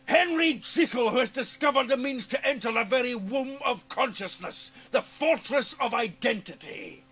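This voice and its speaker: A man playing a sinister, mocking Scottish villain in a radio drama, with a gravelly voice and theatrical, menacing delivery. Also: very high voice, animated, normal speed, a bit bright, heavily acted. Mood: frenzied, triumphant. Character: sinister, mocking small man, transforming into triumphant arrogant doctor.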